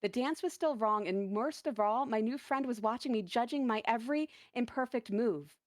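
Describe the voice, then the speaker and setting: A woman reading a first-person dramatic story as a lonely, self-doubting dancer lost in a forest, earnest and halting. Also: on the high side, no room sound, natural voice. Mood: anxious, striving.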